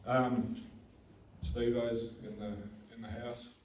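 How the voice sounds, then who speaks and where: Booming Australian man in an echoey hall. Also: little pitch movement, a little mellow, a little hiss or hum. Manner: halting, many fillers and restarts. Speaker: mining company representative. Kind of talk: community information session presentation. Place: public meeting hall. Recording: roomy hall recorded from a distance.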